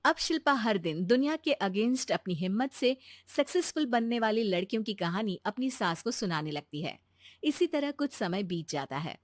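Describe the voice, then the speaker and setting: A woman's voice: medium pitch, sing-song, normal speed, no echo, studio quality. A woman narrating a scripted moral bedtime story as a storyteller.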